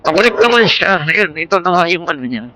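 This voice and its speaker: A man's voice: sits high, animated, fairly quick, bright, forceful tone. A man with a Filipino accent chatting casually in a rambling, informal vlog, mixing in English words, speaking into a Bluetooth intercom headset. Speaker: motovlogger. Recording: Bluetooth intercom mic with vehicle noise.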